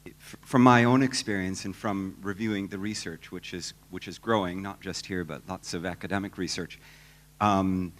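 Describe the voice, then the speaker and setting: A man answering as a panelist at a conference, measured and thoughtful, explaining his points step by step. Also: deep voice.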